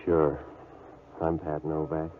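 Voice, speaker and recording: Hard-boiled male detective, 1940s style: very low, flat, terse delivery on a muffled vintage recording. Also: slow. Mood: deadpan, cynical. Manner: terse, deadpan. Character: laconic hard-boiled private eye for hire. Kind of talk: 1940s radio detective narration. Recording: muffled old-time radio recording.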